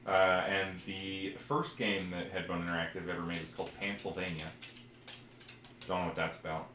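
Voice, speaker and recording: A man with a very deep voice talks casually as a podcast co-host about old games. He rambles and feels around for details. The room is echoey, with some background noise. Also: little pitch movement, moderate pace, pushed and bright. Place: indoor room, recorded live on stream. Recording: roomy echo, clear background noise.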